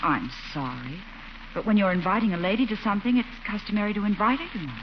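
An actress playing a proper, coy but firm lady in 1940s radio comedy style, genteel and gently chiding. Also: mid-range voice, quick pace, dull audio, lightly acted. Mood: firm, reproving. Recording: noisy band-limited old radio recording.

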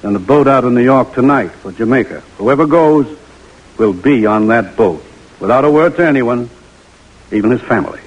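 An actor playing a stern, commanding army major, terse and dryly sardonic, in an old-time radio drama. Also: male voice, medium pitch, expressive, moderate pace, close to an everyday voice. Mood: grave, commanding. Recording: muffled vintage radio recording.

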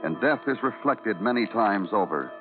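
An actor playing a hardboiled, world-weary police detective in old radio noir style, brooding and clipped, with deliberate phrasing. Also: male voice, fairly low pitch, lively intonation, music in the background, lightly acted. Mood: brooding, somber. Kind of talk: 1940s-50s radio noir narration. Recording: narrow, muffled old radio recording.

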